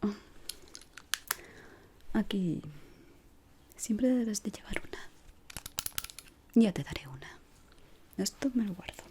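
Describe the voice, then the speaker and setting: A woman from whispers softly and without hurry in an ASMR roleplay, gently giving instructions as a decorator guiding a newcomer. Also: medium pitch, a little hiss or hum. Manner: soft, unhurried, gently instructing.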